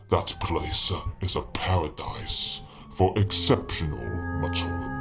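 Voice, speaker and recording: A deep, slow cartoon villain, acted by a man ruling a robotic realm. He is smug and menacing, theatrically polite, with music playing clearly behind him. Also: exaggerated voice. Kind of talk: fan-dub cartoon villain acting.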